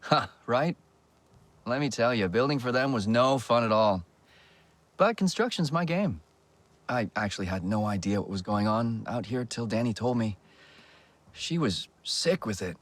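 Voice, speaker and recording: A man's voice: mid-range voice, moderate pace, long pauses, dry recording, lightly acted. An actor playing a friendly, talkative male construction worker in a video game. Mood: candid. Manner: chatty, enthusiastic, animated. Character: friendly male construction worker, chatty and warm about wood. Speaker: builder who left the corporation.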